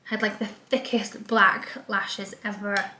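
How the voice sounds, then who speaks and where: A woman's voice: medium pitch, little pitch movement, a little slow, pushed and bright, echoey room, slight background noise, rough recording. A young woman from Scotland with an English accent, talking through a casual vlog with lots of likes and ums. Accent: English with a light Scottish trace. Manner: bubbly, rambling, with lots of "like" and "um". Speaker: vlogger. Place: small room indoors. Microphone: camera facing her from a short distance.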